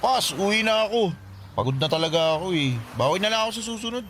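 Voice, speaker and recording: A man's voice: high-pitched, animated, fast talker, fairly bright tone, slight background noise. An actor playing a tired young man who wants to go home, in a radio drama. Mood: weary.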